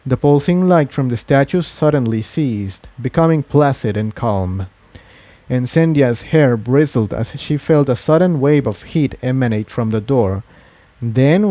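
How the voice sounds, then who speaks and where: A man's voice: medium pitch, dark tone, dry recording. A man reading a fantasy adventure story aloud as its narrator. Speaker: fan fiction narrator.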